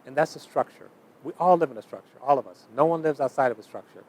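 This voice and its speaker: A man's voice: medium pitch, expressive, quick pace, a little mellow, breathy edge, low-quality recording. Emphatic man explaining in an interview. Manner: emphatic, uses repetition in lists. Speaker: interviewed speaker. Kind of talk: explanatory talk in a documentary interview.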